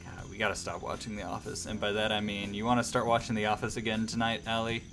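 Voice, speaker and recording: A man with a fairly low voice hosts a casual livestream. He rambles along enthusiastically, talking straight to his viewers over quiet background music. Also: level tone, quick pace. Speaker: host of a radio-style livestream.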